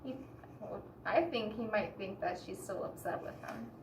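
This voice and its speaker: A woman reading a picture book aloud expressively to children, pausing to explain and ask the listeners questions. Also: medium pitch, creaky. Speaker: children's story reader. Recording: roomy echo, distant mic, background hiss.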